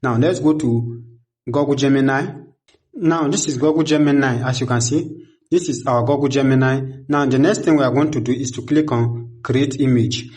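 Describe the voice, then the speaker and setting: A young adult man with a mid-pitched voice presents a step-by-step tutorial. He explains instructionally and often repeats himself, close to a desktop microphone. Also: moderate pace, a little mellow. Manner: repetitive, instructional. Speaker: tutorial host. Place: small indoor home studio. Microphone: desktop condenser microphone close in front.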